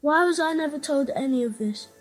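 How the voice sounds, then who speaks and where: A woman acts out a young orphan boy with a high voice. He sounds earnest, polite and curious, like a child in a dubbed fantasy comic. Soft music runs underneath. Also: brisk, lightly acted. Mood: questioning. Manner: earnest, simple, questioning. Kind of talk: scripted fan comic dub dialogue.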